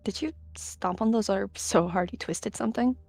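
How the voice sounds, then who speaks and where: A woman's voice: mid-range voice, moderate pace, gravelly, a little mellow, dry recording. A woman voicing a young, anxious and huffy female clan cat in a roleplay, hesitant and whiny. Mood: wary, salty.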